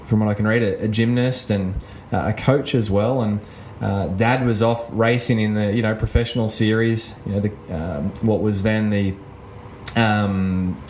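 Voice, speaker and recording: A man's voice: fairly low pitch, a little mellow, slight background noise. A man hosting a podcast interview, asking long, rambling questions full of fillers. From Australia. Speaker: podcast host.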